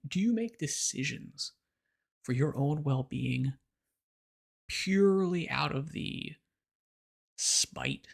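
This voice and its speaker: Brief: American male pundit, sarcastic and lecturing, rough fry-heavy voice. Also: mid-range voice. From United States. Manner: blunt and sarcastic, lecturing the listener. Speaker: political commentator. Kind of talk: opinionated monologue.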